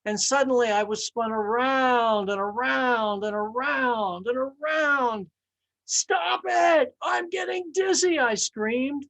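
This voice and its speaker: A woman voicing a dramatic, indignant talking teacup in a storybook tale, pleading with drawn-out, emphatic exclamations. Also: high-pitched, vocal fry, a bit bright, voice pushed up, exaggerated voice, low-quality recording. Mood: indignant, dizzy.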